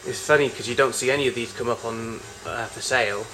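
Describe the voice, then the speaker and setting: Halting man giving an informal show-and-tell. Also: mid-range voice, sharp tone, faint music behind, rough recording. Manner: halting, rambling, with self-corrections. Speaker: collector showing vintage tape recorders.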